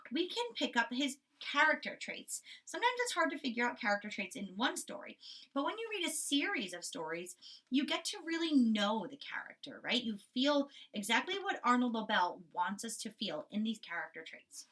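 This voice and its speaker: A woman's voice: on the high side, fairly bright tone, far from the mic. A woman primary school teacher giving a children's lesson and reading a storybook, instructive, stressing key words and often checking in.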